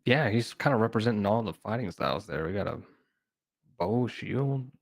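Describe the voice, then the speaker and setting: A man co-hosting a tabletop gaming podcast in relaxed, friendly chat. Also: fairly low pitch, moderate pace, vocal fry, no room sound, studio quality. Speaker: podcast co-host. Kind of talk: casual chat about tabletop game rules.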